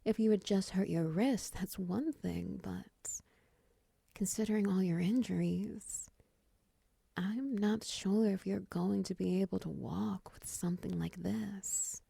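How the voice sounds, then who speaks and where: A woman playing a friendly, flirty, lonely spider woman in an intimate comfort roleplay, murmuring softly and soothingly with teasing endearments. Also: medium pitch, takes it slowly, vocal fry, breathy edge, dry recording, heavily acted. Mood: caring, curious.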